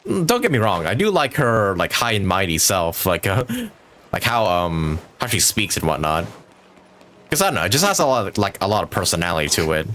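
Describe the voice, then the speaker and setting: A man's voice: deep voice, sing-song, brisk, a bit bright, a little hiss or hum. A man giving casual live gameplay commentary as a streamer, chatty and rambling, with a lot of "like". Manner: chatty, rambling, chuckles often, says like a lot. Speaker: game streamer. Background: game music.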